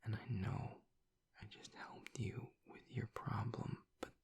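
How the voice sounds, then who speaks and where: Man acting a grieving werewolf boyfriend, gravelly whisper. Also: fairly low pitch, flat delivery, a little mellow, full character voice. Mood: guarded, reluctant. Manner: halting, reluctant, haltingly confessional. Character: gruff grieving tsundere werewolf boyfriend, guarded and vulnerable. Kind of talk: intimate audio roleplay acting.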